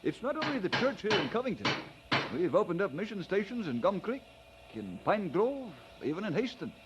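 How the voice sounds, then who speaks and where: An actor playing an earnest, good-humoured young preacher in an audio drama. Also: male voice, high voice, sing-song, moderate pace, dull audio, heavy background noise. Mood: earnest, delighted.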